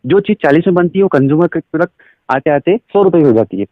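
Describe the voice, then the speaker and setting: A man with an Indian accent giving an explanatory sales pitch over a recorded phone call, asking questions and mixing in English phrases. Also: animated, fast, no room sound. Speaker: direct selling recruiter.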